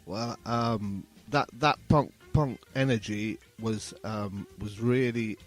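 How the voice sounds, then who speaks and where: A man in his fifties from England speaks as a guest in a casual interview. His voice sits on the low side and he talks reflectively, often halting as he thinks, with music faintly behind him. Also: fairly even intonation.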